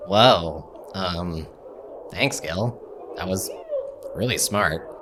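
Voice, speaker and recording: A man playing an exasperated, low-voiced youngster. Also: very slow, background noise. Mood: subdued. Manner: incredulous, expressive. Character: exasperated, earnest young crew member. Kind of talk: comedy audio drama acting.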